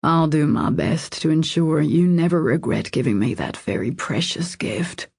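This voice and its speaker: A woman voicing a devoted, protective man in an audiobook romance, in a low husky murmur, earnest, intimate and reassuring. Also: expressive, normal speed, a little mellow, dry recording, studio quality, heavily acted. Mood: tender, earnest.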